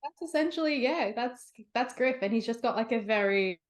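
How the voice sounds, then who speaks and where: A woman's voice: on the high side, normal speed. A young woman in her twenties, an author being interviewed, talking in a bubbly, rambling way with many "like" fillers and light laughs. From half Romanian, half Sri Lankan heritage. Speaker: author guest. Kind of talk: casual interview chat.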